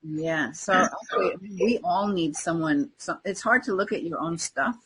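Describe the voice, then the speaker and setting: A woman co-hosting a podcast as an energy coach, talking casually in a husky, breathy voice. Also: fairly low pitch, normal speed, a bit bright, slight background noise, rough recording.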